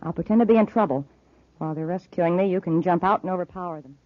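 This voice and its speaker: An actress playing a cold, commanding, scornful criminal boss in a 1950s radio serial. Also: female voice, lowish voice, fast, no room sound, close to an everyday voice. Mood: scheming. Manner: commanding, crisp orders, scornful. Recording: muffled old 1950s radio recording.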